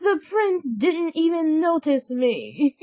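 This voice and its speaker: Vain young stepsister for a children's storybook, a woman acting. High, whiny, boastful, drawling slowly through exclamations. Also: very animated, a little mellow, no room sound, full character voice. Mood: whining, upset. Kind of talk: children's storybook read-aloud.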